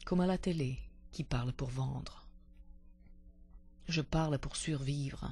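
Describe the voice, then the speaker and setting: A woman reciting poetry expressively, with deliberate dramatic phrasing and a husky, breathy voice that can sink toward a whisper. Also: extremely low pitch, darkish tone. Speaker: poetry reader.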